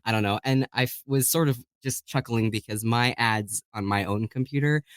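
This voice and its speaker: A man talks casually as a guest on a podcast, with an average-pitched voice and very little room sound. He rambles conversationally and slips into quoted lines as he tells anecdotes. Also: normal speed, clear, resonant tone, no room sound, studio quality. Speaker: podcast guest, voice actor and narrator.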